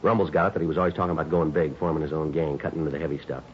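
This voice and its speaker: A man playing a terse, businesslike police detective and narrator in a 1950s radio drama, deadpan and matter-of-fact. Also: extremely low pitch, racing. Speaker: narrator and lead actor. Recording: dull old 1950s radio recording.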